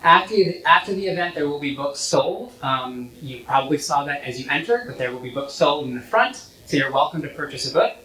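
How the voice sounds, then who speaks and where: A man with a fairly high voice makes announcements as host of the event. He stands back from the mic in an echoey hall. A light hum runs underneath. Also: animated, a little fast, few pauses, bright, forceful tone, far from the mic. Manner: reading announcements with fillers. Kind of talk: event introduction. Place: university lecture hall.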